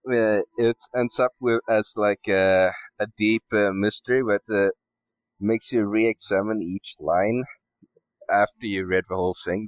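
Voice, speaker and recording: A man chatting casually as a podcast guest, hesitant and halting, swearing offhandedly, on dull muffled audio. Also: fairly low pitch, no echo. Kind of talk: casual chat.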